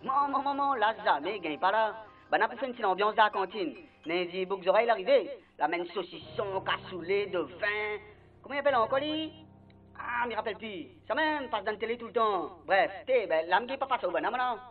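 A man's voice: high voice, lively intonation, fairly quick, faint music behind. A man with a Réunion Creole accent playing a grumbling, cheeky heavenly gatekeeper in a comic radio serial, blustering through a telephone filter. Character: grumbling male Saint Peter, cheeky, easily distracted heavenly gatekeeper. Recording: tinny phone-line sound.

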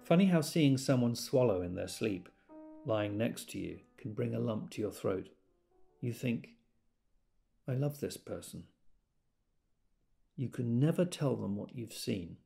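An actor playing a tender, reflective man musing quietly and intimately in an audio drama. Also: mid-range voice, expressive, a little slow, gravelly, pauses often, soft background music.

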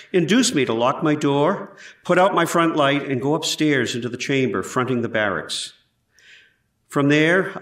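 A man playing an 18th-century surgeon who gives measured, precise testimony in a scripted trial re-enactment. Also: medium pitch, fairly bright tone, lightly acted. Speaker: actor in a historical trial re-enactment. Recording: roomy echo, distant from mic.

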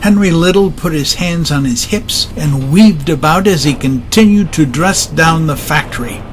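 A man's voice: fairly high pitch, animated, moderate pace, slight background noise. A man narrating a dramatized audiobook in a dramatic scripted style, with music underneath.

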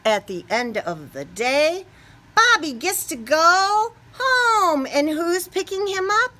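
A woman's voice: extremely high pitch, takes it slowly. A middle-aged American woman teaching kindergarten, talking playfully to young children as she leads a read-aloud. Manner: animated, playful, exaggerated questions and reactions as to small children. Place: small classroom, indoors.

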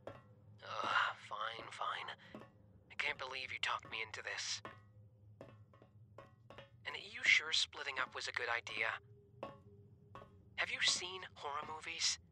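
A man playing a reluctant, anxious scrap hunter devoted to a partner, in a hushed, grumbling ASMR roleplay manner. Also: mid-range voice, slow, pauses often. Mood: grumbling, uneasy. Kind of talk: whispered ASMR roleplay acting.

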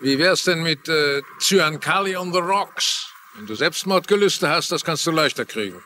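A dubbing actor playing an anxious, exasperated sidekick in a TV crime drama, emphatic and nagging. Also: male voice, fairly high pitch, animated, moderate pace, bright, forceful tone, a little hiss or hum. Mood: sarcastic.

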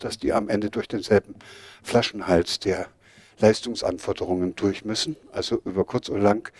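A man's voice: on the low side, breathy edge, no room sound. Blunt older man answering questions outdoors. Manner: blunt, emphatic, frank. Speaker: speaker answering audience questions. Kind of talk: outdoor lecture Q&A. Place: outdoors in a garden before a seated audience.

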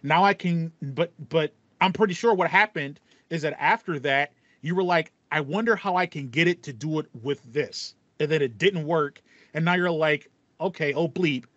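A man's voice: fairly high pitch, moderate pace, fairly bright tone, no echo. A man in his thirties co-hosting a podcast in casual chat, acting out his thoughts as he talks. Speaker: podcast co-host. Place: small room at home with acoustic foam, indoors. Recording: slightly dull, band-limited audio.

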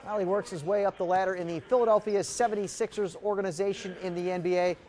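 A middle-aged man anchoring TV sports, reading highlights in a punchy, emphatic announcer style. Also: steady tone, fast talker, dark tone. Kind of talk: scripted sports highlights narration. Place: TV news studio.

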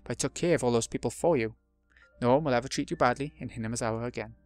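A man's voice: mid-range voice, rapid-fire, dry recording, faint music behind, natural voice. A man voicing an earnest, eager boy reassuring a friend.

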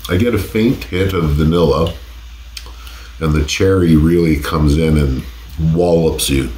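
A man in his forties speaks in a very low, congested voice, stuffed up from a cold. He talks in a laid-back, casual way and swears freely, recorded on a desk mic in a roomy home office with a faint hum behind him. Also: measured pace, warm tone. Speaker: host. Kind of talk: casual taste-test vlog. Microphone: desk condenser mic.

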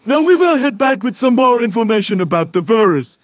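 A man's voice: extremely high pitch, very animated, fairly quick, dry recording. A man playing an earnest villager news anchor, reading a formal scripted bulletin. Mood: formal, serious.

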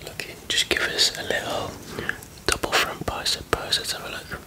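A man in his thirties whispering softly in an encouraging, matey way in an ASMR roleplay, close to the mic. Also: moderate pace. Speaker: ASMR creator playing a bodybuilding prep helper. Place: block-walled garage gym with metal roof.

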